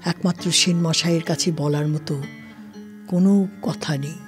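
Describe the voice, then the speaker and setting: A woman narrating a scripted literary story in a deliberate, formal storytelling manner. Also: low-pitched, steady tone. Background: soft plucked-string music.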